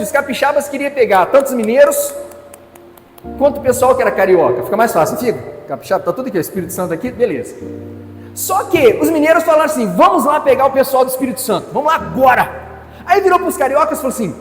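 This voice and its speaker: A man preaching a sermon as pastor, telling a story playfully and acting out its characters in different voices. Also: very high voice. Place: church hall. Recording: echoey church hall with faint music.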